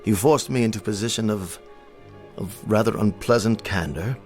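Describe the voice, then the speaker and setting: A man playing a proud, aristocratic colonel in a radio drama, bitter and brought low, speaking in a formal, halting, pompous way. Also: darkish tone, close to an everyday voice. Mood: bitter, humbled. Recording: music playing under the voice.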